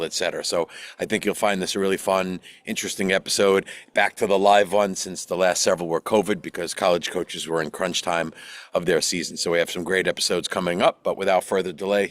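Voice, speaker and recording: Male podcast host, casual and self-deprecating, easygoing delivery. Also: sits low. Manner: chatty, self-deprecating, laughs easily. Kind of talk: casual interview chat.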